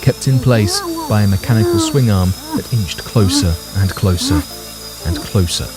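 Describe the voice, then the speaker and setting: A man's voice: on the low side, animated, moderate pace, over loud music. A man narrating a scripted audio drama in a grave, theatrical storytelling manner.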